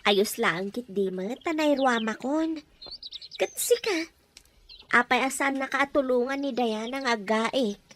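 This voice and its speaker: A woman's voice: a little high, slow, long pauses, fairly bright tone, slight background noise. A woman with a Filipino accent playing a flirtatious, scheming farm wife and mother in an audio drama. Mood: flirty. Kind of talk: Ilocano audio drama acting.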